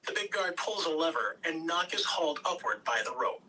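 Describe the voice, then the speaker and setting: A man's voice: fairly high pitch, animated, brisk, pushed and bright. A man reading out stage directions as scripted narration at an amateur table read. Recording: distant room mic, faint noise.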